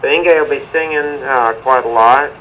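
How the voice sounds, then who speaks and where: A man from Middle Tennessee, talking about his music in a relaxed, casual way with many pauses and "uh" fillers. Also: medium pitch, slight background noise, low-quality recording. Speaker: musician guest. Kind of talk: casual interview answers. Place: remote link to a TV studio.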